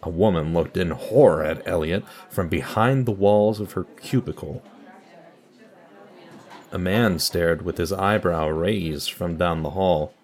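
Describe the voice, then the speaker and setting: A man's voice: low-pitched, unhurried, darkish tone. A man delivering scripted narration and announcements for an audio drama.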